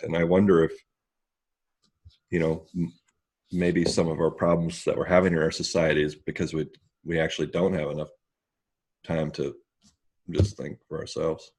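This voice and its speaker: A man with a deep, husky voice speaks openly and a little self-deprecatingly. He halts and restarts as he works out his thoughts in a relaxed group conversation. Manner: candid, self-deprecating, halting. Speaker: discussion participant.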